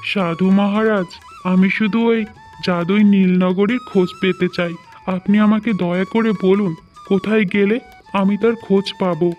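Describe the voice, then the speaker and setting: A man's voice: sits high, steady tone, unhurried, muffled recording, soft background music. A man voicing a proud, determined young suitor in a fairy-tale cartoon, speaking earnestly. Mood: searching, pleading.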